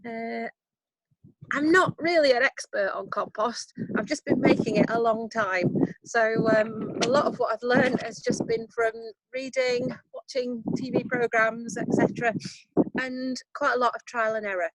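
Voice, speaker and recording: A woman's voice: on the high side, lively intonation, unhurried, no room sound, low-quality recording. A woman with a Yorkshire accent giving a casual composting workshop outdoors over a video call, chatty and self-deprecating with lots of ums. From Yorkshire, England. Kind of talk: casual online workshop talk. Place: outdoors in a garden.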